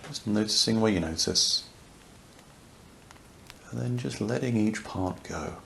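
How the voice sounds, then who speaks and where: A man leading a guided yoga nidra relaxation, speaking softly and soothingly, unhurried, with long pauses. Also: lowish voice, vocal fry. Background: crackling fireplace ambience.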